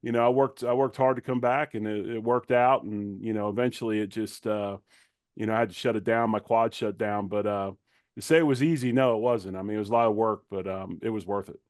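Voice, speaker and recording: A man's voice: fairly low pitch, fairly even intonation, a little fast, no echo, clean studio sound. A middle-aged man, a former player turned commentator, giving conversational sports analysis in an informal, plain-spoken way.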